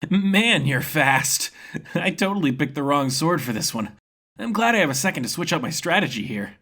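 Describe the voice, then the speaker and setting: Man as upbeat young fantasy swordsman, raised pitch, casual slang, innocent and forgiving, storybook hero. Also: expressive, moderate pace, pushed and bright, full character voice. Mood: playful.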